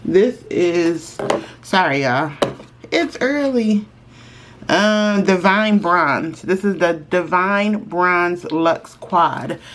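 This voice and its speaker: Low-voiced woman, lilt, stuffy nose, relaxed chatty beauty vlogger. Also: slight background noise. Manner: chatty, friendly, slows down while applying makeup. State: congested. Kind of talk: casual makeup review.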